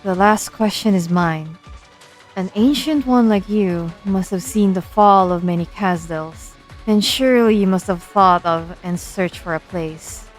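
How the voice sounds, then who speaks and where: A woman voicing an aloof young banshee engineer, measured and quietly solemn, in a fan-dubbed game drama. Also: medium pitch, natural voice, rough recording. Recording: background music under the voice.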